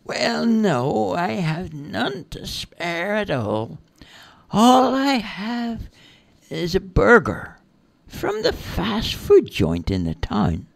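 A storyteller acts out a bold little girl from a storybook who traps fairies and bargains hard. Her voice is pitched high and sing-song, with slow, drawn-out, insistent phrases. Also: huge pitch swings, gravelly, dry recording, exaggerated voice. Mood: teasing.

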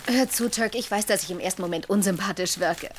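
A woman's voice: mid-range voice, fairly quick, slight background noise, natural voice. An actress playing a blunt, awkward young woman doctor in a German-dubbed TV comedy-drama. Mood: awkwardly friendly.

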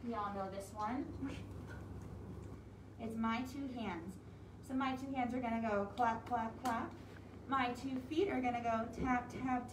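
A woman with a high voice talks to young children as a storytime librarian. She keeps her words simple and encouraging. She sounds a little way from the mic in an echoey room, with clear noise in the recording. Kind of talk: read-aloud and instructions for young children. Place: library room with wooden walls and windows, indoors. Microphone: camera a few feet away, speaking through cloth mask. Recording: roomy, distant mic with strong noise.

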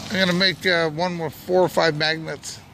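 A man's voice: on the high side, level tone, normal speed, creaky. A man, chatting casually and informally as he films an outing outdoors. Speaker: vlogger filming a night magnet fishing outing. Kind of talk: casual narration and chat while filming. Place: outdoors on a bridge walkway at night. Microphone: handheld camera or phone held by speaker. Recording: noisy outdoor recording with ambient hum.

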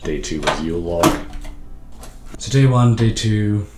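A man in his thirties with a lost, hoarse voice, chatting excitedly and rambling through a show-and-tell vlog from his home study. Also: fairly low pitch, a little slow, reverberant, heavy background noise. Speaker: stationery vlogger. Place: home study with lamps and bookshelves.

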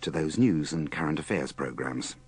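A man's voice: rumbling low, moderate pace, a little mellow, breathy edge. A British man giving scripted narration as host, with wry, theatrical emphasis. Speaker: compilation host.

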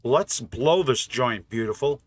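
A man's voice: mid-range voice, animated, measured pace. A man giving casual, offhand gameplay commentary, thinking aloud as a channel host. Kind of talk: casual game mod test commentary.

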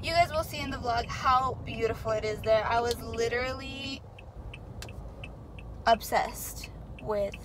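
Young american girl vlogging in her car, kinda slow talker, super loud road noise. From Tucson, Arizona, USA. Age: 20s. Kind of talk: casual chatty vlog. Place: inside a car while driving. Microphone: camera mounted on dashboard. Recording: noisy car interior with road rumble.

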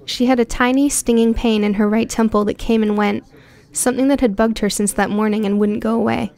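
A woman reading a prose story aloud in an even, clear storytelling voice, recorded close on a studio mic. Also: fairly high pitch, fairly quick. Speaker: audiobook narrator. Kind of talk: scripted narration.

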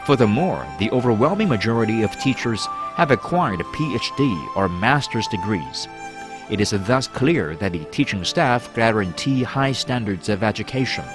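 A man reading a formal, measured promotional narration as a voice-over. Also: on the low side, lively intonation. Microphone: studio voice-over. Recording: voice-over over loud music. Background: music.

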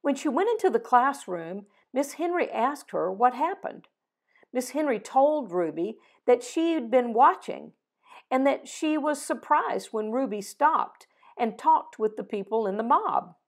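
An older woman reading a picture book aloud and closing with a prayer, gently voicing the dialogue, recorded over a video call at home. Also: high voice, big pitch swings. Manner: slow, gentle storytelling with voiced dialogue. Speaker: storybook reader. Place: home living room with fireplace.

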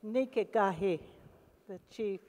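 Slow, solemn older woman, Oklahoma accent. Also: mid-range voice, a little mellow. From Oklahoma, United States. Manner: slow, solemn, measured phrases. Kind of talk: speech interpreted line by line. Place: large festival stage, indoors. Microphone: handheld mic. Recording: live hall with long reverb.

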